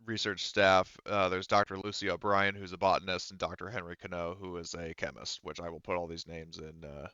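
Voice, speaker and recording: Male game master, deep and clean-toned, halting casual delivery, slightly dull dry audio. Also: level tone, bright, forceful tone, clear, resonant tone, no room sound. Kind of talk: narrating an online tabletop game.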